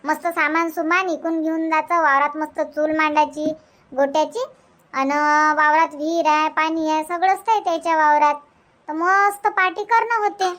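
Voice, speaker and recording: A woman acts as an eager young cartoon village woman with an extremely high, girlish pitch. Her Varhadi Marathi accent comes with breezy, colloquial, persuasive talk. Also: fairly even intonation, moderate pace, a bit bright. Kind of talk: cartoon comedy dubbing.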